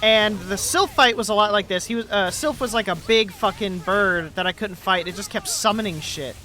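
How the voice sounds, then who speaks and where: A man's voice: high voice, moderate pace, pushed and bright. A man streaming a game live, rambling and joking with frequent swearing. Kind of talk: casual gaming livestream commentary. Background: game music.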